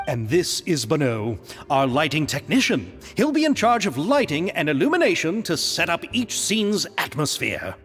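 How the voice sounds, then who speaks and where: An earnest, courteous producer in a game. His fairly high voice moves with lively swings of pitch, polite and formal, with reverb around it. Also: moderate pace, soft background music. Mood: welcoming. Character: earnest, grateful, polite male film producer. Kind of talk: video game voice acting.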